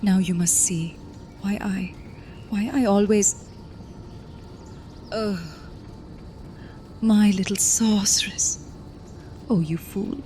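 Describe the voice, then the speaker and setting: A woman's voice: medium pitch, steady tone, slow pace, long pauses, warm tone, slight background noise, lightly acted. A woman playing an imperious, possessive vampire mother in an audio drama, cooing her words. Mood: possessive, menacing sorrow. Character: vampire warrior mistress, possessive scheming mother. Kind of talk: dramatic audio fiction acting.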